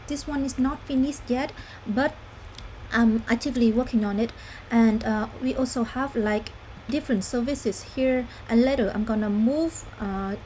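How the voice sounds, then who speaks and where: A young woman from Vietnam, walking through a demo of her student app in a careful, halting way with many fillers. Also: fairly high pitch, mellow, a little hiss or hum, low-quality recording. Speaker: student intern presenting an app. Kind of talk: screen-recorded app demo walkthrough.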